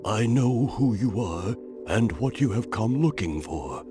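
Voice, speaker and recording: A grave, cryptic male island guardian from a video game. His low voice moves very slowly and portentously, like a witch doctor giving prophecy, over clear music. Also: lively intonation. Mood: grave, foreboding. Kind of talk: video game cutscene acting.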